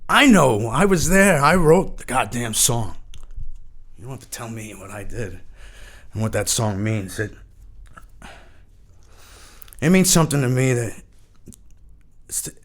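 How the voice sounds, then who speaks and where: An actor playing a washed-up, haunted rock frontman in his fifties, rambling and swearing haltingly in a mock podcast interview. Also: mid-range voice, expressive, fairly bright tone. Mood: defensive, agitated, then grieving, halting. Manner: halting, repetitive, rambling, profane.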